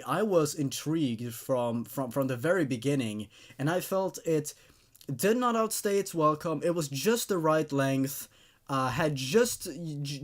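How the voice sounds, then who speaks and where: Enthusiastic man giving casual commentary. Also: fairly high pitch. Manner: enthusiastic, emphatic, repeats words. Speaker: host reading and reviewing horror stories.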